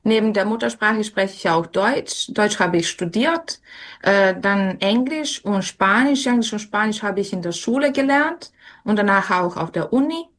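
A woman's voice: mid-range voice, moderate pace, a bit bright. A young woman answering interview questions casually, with frequent hesitations and fillers. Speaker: interview guest.

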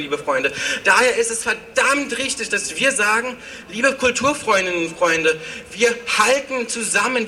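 A man's voice: high voice, sharp tone, recorded from a distance, a little hiss or hum. A man giving a fiery rally speech at an outdoor demonstration, repeating rallying calls. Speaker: rally speaker.

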